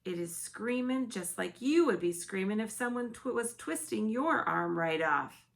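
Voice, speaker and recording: A woman voicing a gentle, big-eared male giant who talks earnestly in mixed-up, ungrammatical words. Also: fairly high pitch, animated, measured pace, vocal fry, pitched above the performer's own voice.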